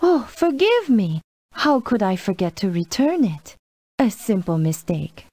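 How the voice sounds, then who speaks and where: A woman's voice: mid-range voice, big pitch swings, measured pace, dark tone, no echo. A woman playing an apologetic villager who feigns forgetfulness in a children's game. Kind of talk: children's game cartoonish voice acting.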